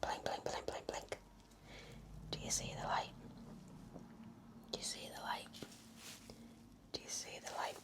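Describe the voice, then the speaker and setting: Softly whispering woman, very slow. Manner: gentle, soothing, reassuring. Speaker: ASMR roleplay performer as a nurse. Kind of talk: whispered ASMR personal-attention roleplay.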